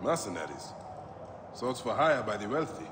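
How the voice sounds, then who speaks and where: A grave, stoic warrior from a video game. His voice is on the low side, and he speaks in terse, measured phrases over clear ambient noise. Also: very animated. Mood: serious, probing. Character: stoic, serious male warrior and protector. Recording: noisy ambient background.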